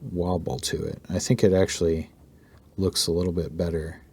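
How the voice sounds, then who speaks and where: Male art tutorial host, low-pitched, slightly hoarse, relaxed rambling delivery. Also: normal speed. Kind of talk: casual narrated drawing tutorial.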